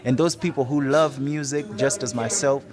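A man's voice: medium pitch, moderate pace, crowd noise. A man in his twenties with a Malawian accent, giving an interview testimony outdoors. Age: 20s. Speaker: youth programme participant and rap artist. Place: outdoors in a campus courtyard. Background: guitar music.

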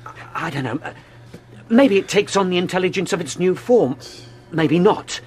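An actor playing a feverish research scientist half-crazed with dread, halting and intense, in a radio drama. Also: male voice, high voice, expressive. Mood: hushed fascination.

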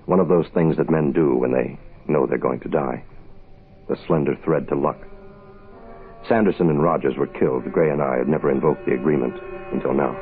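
An American man playing a cool, wry hard-boiled private investigator in a 1960s radio drama, laconic and deadpan. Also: a bass voice, fairly quick, gravelly, breathy edge, exaggerated voice. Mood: cool, brooding. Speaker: lead actor and narrator. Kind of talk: 1960s radio detective drama acting, first-person narration. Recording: muffled phone-like old radio, music behind.

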